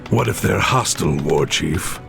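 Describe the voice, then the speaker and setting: A man voicing a wary, military orc officer in a video game, with a very gravelly voice. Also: deep voice, animated, normal speed, music in the background, heavily acted. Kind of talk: video game character acting.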